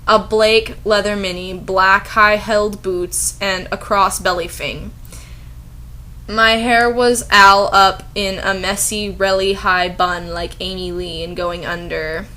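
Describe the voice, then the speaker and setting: A woman's voice: mid-range voice, fairly even intonation, slow, pushed and bright. A woman voicing an angry, melodramatic teenage goth girl who narrates her own story in an overwrought, self-important way.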